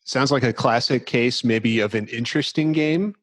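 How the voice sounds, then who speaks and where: A man's voice: mid-range voice, moderate pace, a bit bright, no echo. A man co-hosting a podcast in casual gaming chat, reacting along in a playfully tongue-in-cheek way. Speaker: podcast co-host.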